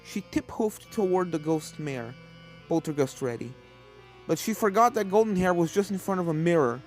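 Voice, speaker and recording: Woman narrating over soft music. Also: lowish voice, animated, moderate pace. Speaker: fan fiction narrator. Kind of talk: scripted story narration. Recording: game music under the voice.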